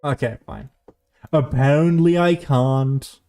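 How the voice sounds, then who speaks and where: A man streams a video game in a casual, rambling way. His voice sits at an average pitch, and he often drops his train of thought and picks it up again. Soft music plays behind him. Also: slow pace, clean tone. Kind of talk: casual gameplay commentary.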